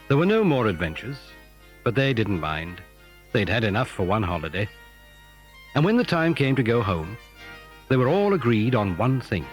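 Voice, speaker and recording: A man's voice: fairly low pitch, big pitch swings, normal speed, long pauses, soft background music. A man narrating a scripted children's adventure story.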